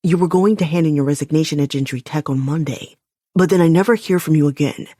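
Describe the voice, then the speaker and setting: Brief: a woman playing a worried, remorseful man, slightly lowered voice, earnest pleading delivery. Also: fast talker, mellow, husky, no room sound, clean studio sound.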